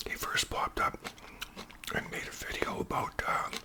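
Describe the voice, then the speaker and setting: A man with a Canadian accent speaks in a breathy whisper, chatting casually and unhurriedly in ASMR style as host. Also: a little slow. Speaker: ASMR video creator and host.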